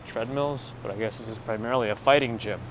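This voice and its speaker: A New man talks casually into a phone mic on a gimbal as he walks a city street. His voice sits at a middle pitch for a man. Traffic and street noise run clearly behind him. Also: fast talker. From New York. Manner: relaxed, chats with viewers while walking. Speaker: walking tour live streamer. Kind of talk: casual live-stream narration. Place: outdoors on a city street in winter. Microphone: gimbal-mounted phone mic.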